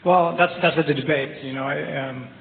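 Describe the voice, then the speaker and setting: A man asking a question as a news reporter at a press conference, speaking haltingly. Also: fairly high pitch, fairly even intonation, gravelly. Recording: echoey hall, phone-like band, faint noise.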